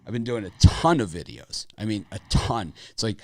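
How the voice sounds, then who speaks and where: A man with a raspy voice hosting a sports betting show, talking casually in a rambling, opinionated way with lots of fillers. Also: lowish voice, big pitch swings, normal speed, no echo.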